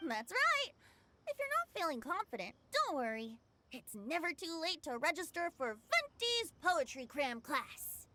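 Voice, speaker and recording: A woman's voice: sits high, big pitch swings, measured pace, noisy background. A woman voicing a breezy, teasing boy bard in a video game, light and theatrical in delivery. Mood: breezy, encouraging. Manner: playful, theatrical flourishes.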